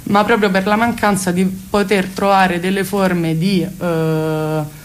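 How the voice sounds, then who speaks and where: A woman's voice: on the low side, steady tone, takes it slowly. A woman speaks as an interview guest, explaining earnestly and at length with hesitant phrasing. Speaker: guest, cultural space activist. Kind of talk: spoken radio interview, explanatory.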